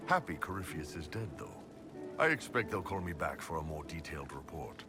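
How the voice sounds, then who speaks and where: An actor playing a big, jovial mercenary warrior in a fantasy game, blunt and swaggering. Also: male voice, rumbling low, expressive, fairly quick. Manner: blunt, laid-back swagger. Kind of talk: dramatic game dialogue. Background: music.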